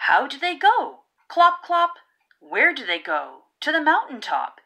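A woman reading a children's picture book aloud in a rhythmic, theatrical way with drawn-out emphasis. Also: high voice, big pitch swings, pushed and bright. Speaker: read-aloud narrator of children's picture books. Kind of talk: expressive storybook reading.

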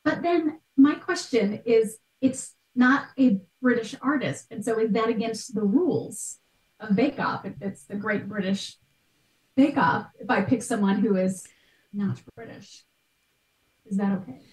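A woman's voice: a little high, a little slow, a little mellow. A woman in her thirties thinking aloud as an expert guest in a conversational interview, on a video call from a room at home. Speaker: guest expert, art history podcaster. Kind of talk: conversational question-and-answer interview. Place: small bright room at home. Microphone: video call, computer microphone. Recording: echoey room, distant from mic.

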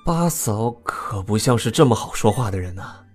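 Man acting a young prince, animated pitch, playful, teasing, breezy, audio drama. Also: moderate pace, faint music behind, close to an everyday voice.

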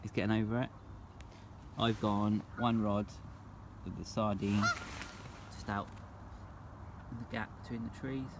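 A young man with a slightly low voice gives brisk, matter-of-fact commentary. He is filmed outdoors beside a lake on a phone held at arm's length, with clear outdoor noise and honking birds behind him. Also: steady tone. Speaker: angler vlogger. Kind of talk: casual outdoor vlog. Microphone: phone camera at arm's length.

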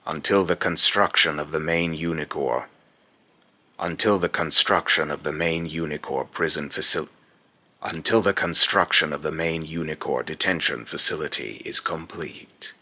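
A young man voicing a menacing, pompous god of fear and loss in a solemn villain monologue, with a gravelly voice. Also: a bass voice, pushed and bright, full character voice. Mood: cold, commanding. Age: young adult. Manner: slow, pompous, solemn, repeats phrases in retakes. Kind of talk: scripted villain monologue, voice-acting takes. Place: small indoor room at home.

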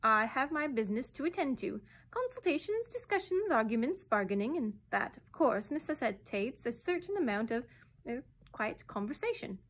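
A woman voicing a bossy, greedy old male starling in a storybook, shrill and pompous. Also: very animated, normal speed, fairly bright tone. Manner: pompous, wheedling, brusque.

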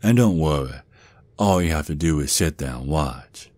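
Man acting a very deep-voiced, menacing Southern werewolf father. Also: lively intonation, normal speed, a little mellow. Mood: calm menace. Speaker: audio roleplay performer. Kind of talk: ASMR roleplay acting.

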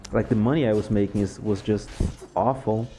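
A young man from Brazil, a Portuguese speaker with a Brazilian accent, chatting casually over gameplay, relaxed and laughing often. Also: on the low side, fairly quick, soft background music. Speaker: gaming YouTuber.

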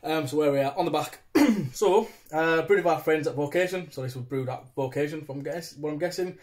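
Higher-pitched British man, casual beer reviewer. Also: brisk, a little mellow. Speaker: beer reviewer, vlog host. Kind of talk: casual chatty review to camera. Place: small domestic room indoors. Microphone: phone propped at arm's length.

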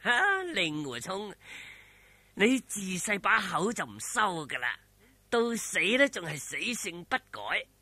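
A man's voice: sits high, sing-song, takes it slowly, pushed and bright, no echo, full character voice. A man voicing a treacherous older martial-arts master in a storybook telling, sneering and drawing out his taunts. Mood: mocking threat. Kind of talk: Cantonese audio storytelling.

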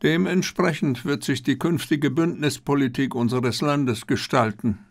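A man voicing an old, proud, disciplined Japanese army general who speaks in a formal, measured way. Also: medium pitch, no echo, pitched above the performer's own voice, lightly acted. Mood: stern, resolute.

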